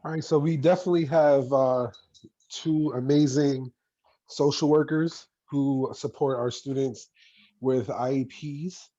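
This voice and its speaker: A man's voice: medium pitch, fairly even intonation, measured pace, mellow. A man presenting his school's support services at a virtual school fair, upbeat and plain over a video call. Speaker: school staff member. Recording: dull muffled video call audio.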